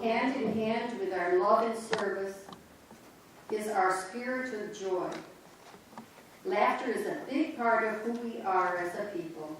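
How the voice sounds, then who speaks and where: A woman's voice: mid-range voice, measured pace, faint music behind. A woman reading the links between stories in a church reader's theater, with light commentary. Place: large church sanctuary. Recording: echoey church from far off.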